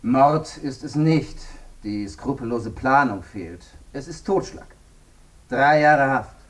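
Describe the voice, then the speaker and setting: Courtroom advocate, medium pitch, lively intonation, formal, measured, radio play. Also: male voice, distant mic. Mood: firm, formal. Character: formal male courtroom lawyer arguing for a lenient sentence. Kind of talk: radio drama acting.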